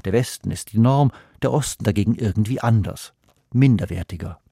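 A man, a book critic, reading his scripted radio review in a steady, analytical way. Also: fairly low pitch, fairly quick, creaky, slightly rough, no echo, clean studio sound.

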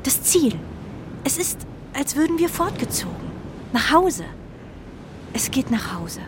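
A homesick pigeon in a children's radio play. The voice is dreamy and hesitant, loyal and a little stubborn, with clear ambient noise around it. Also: female voice, sits high, big pitch swings, slow, heavily acted. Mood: longing, excited.

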